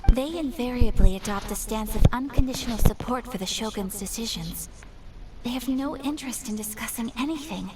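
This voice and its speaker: A woman playing a gracious, earnest young noblewoman in a game, speaking in a formal, measured and refined way. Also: on the high side, distant mic, very noisy, natural voice. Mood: solemn. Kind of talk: scripted game dialogue.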